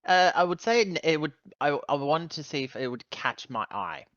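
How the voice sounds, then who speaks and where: A man's voice: fairly high pitch, normal speed, a bit bright, no echo. Male tabletop player, hesitant rambling delivery, casual, dry and slightly dull recording. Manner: rambling, hesitant, self-correcting. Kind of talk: casual game talk, describing character actions.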